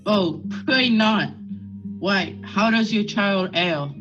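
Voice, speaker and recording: A man's voice: high voice, slow pace. A man acting an anxious, stern Puritan minister and uncle in a radio play, with formal, urgent, old-fashioned speech. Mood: pleading, alarmed. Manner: formal, archaic, urgent questioning. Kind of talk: period stage drama acting, radio play. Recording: dull lo-fi sound with music behind.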